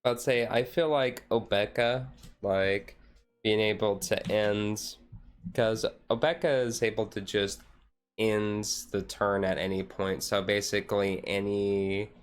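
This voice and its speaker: A man streamer chatting casually in a relaxed, rambling way on a chill hobby stream. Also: on the low side, takes it slowly, clear, resonant tone.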